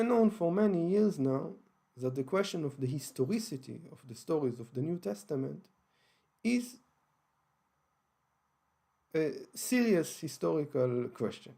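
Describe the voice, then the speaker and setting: A man's voice: fairly high pitch, gravelly, mellow, breathy edge. A man with an Israeli accent, hosting his channel and explaining his views on religion carefully, with frequent hesitations and restarts. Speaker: channel host. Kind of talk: unscripted explanatory talk.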